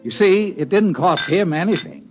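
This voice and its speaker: An actor playing an excitable, blustering old man, an indignant washed-up swindler with a shaky voice, in 1950s radio drama style. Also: high-pitched, normal speed, faint music behind. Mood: chummy, tipsy. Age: older.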